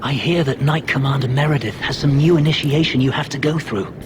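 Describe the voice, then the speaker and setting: An actor playing an uneasy soldier in a video game, passing on grim rumours in an ominous way. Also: male voice, medium pitch, a little fast, natural voice. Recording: noisy game ambience.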